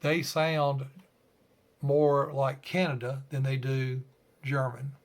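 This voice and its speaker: A man's voice: mid-range voice, level tone, slow. A man hosting an informal hobby tutorial, explaining step by step in a conversational way. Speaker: ham radio tutorial host.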